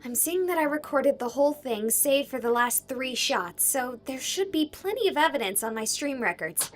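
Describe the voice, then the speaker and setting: A woman playing an excitable young streamer in a game, with theatrical, emphatic delivery as she investigates a haunted hospital. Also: high-pitched, moderate pace. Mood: dramatic, determined. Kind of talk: voiced game character dialogue.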